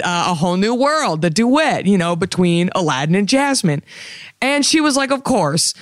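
Sassy low-voiced woman podcast host. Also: clear, resonant tone, no room sound, clean studio sound. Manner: sassy, playful, calls listeners honey and baby. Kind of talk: casual chat.

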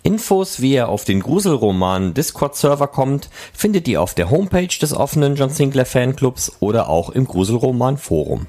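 A man's voice: mid-range voice, very animated, moderate pace, hardly any pauses, mellow. A man reading scripted news and announcements as the host of a podcast.

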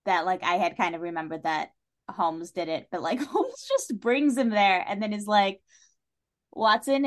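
A woman with a medium-pitched voice talks as a guest on a literary podcast. She is analytical and thoughtful, building her points in long, casual tangents.

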